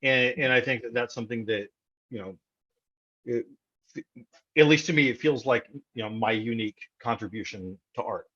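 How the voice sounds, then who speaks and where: A man's voice: medium pitch, normal speed, pauses often, a bit bright, husky, dull audio. An American man from Texas talking casually as an interview guest, often repeating words as he thinks aloud. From Texas, United States.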